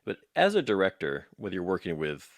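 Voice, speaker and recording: A man's voice: low-pitched, huge pitch swings, brisk, vocal fry, no echo. A man hosting an interview, talking casually and putting questions to his guest.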